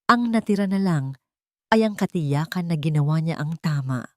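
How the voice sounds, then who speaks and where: Low-pitched woman narrating a dramatic story. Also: expressive, normal speed, dark tone, no echo, clean studio sound.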